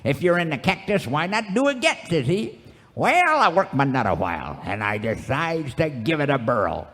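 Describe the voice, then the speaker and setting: An actor playing a cantankerous, boastful old cowboy sidekick, spinning folksy, rambling tales in a live old-time radio comedy. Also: male voice, on the high side, very animated, moderate pace, fairly bright tone. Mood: animated, boastful. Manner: folksy, rambling, theatrical storytelling. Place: live radio theater stage. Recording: echoey theater stage, music behind.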